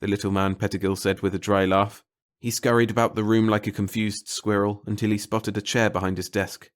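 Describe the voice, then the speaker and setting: A man's voice: lowish voice, level tone, brisk. A man narrating a scripted short story as a bedtime read-along audiobook.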